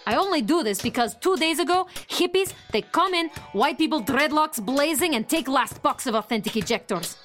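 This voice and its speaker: A burly Eastern European saleswoman in a sci-fi comedy audio drama. Her voice is high and very animated, pushing shady deals in broken English, with music behind her. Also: moderate pace, hardly any pauses. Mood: flustered, scheming. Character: warm burly Eastern European woman, wily shady parts dealer.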